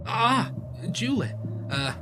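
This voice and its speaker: An actor playing a friendly, chatty hotel worker with a dry joking streak in a radio play. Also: male voice, very high-pitched, very animated, slow pace, over music, heavily acted. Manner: chatty, casual, dryly joking. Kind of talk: radio drama acting. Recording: clear noisy ambience behind the voice.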